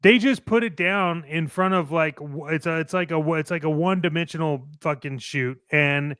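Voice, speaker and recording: A man's voice: fairly high pitch, moderate pace, a bit bright, clear, resonant tone, dry recording, clean studio sound. A man co-hosting a show, giving casual opinions in a blunt, emphatic way with some swearing. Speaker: co-host of a toy collecting show.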